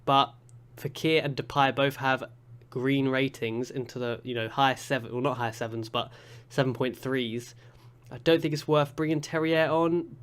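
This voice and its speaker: A young man with a congested, sniffly voice from a cold, chatting through a football management game with excitable play-by-play commentary. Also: mid-range voice, moderate pace, clear, resonant tone. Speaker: gaming YouTuber.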